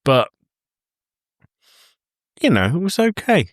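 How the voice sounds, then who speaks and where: A man's voice: on the high side, big pitch swings, slow, dry recording, clean studio sound. A man co-hosting a casual film review podcast in a jokey, blunt, emphatic way. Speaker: podcast co-host.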